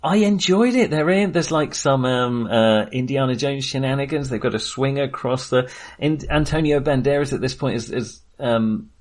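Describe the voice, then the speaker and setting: A man's voice: medium pitch, moderate pace, darkish tone. Wry, rambling man chatting about film. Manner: rambling, hesitant, often trailing off mid-sentence. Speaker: film podcast host. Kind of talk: casual film discussion.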